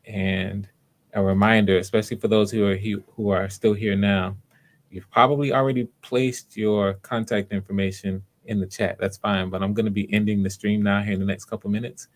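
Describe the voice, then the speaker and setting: A man hosting live online auditions, talking casually to viewers in an unhurried, explanatory way, thinking aloud as he goes. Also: lowish voice, flat delivery. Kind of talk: casual livestream talk to viewers.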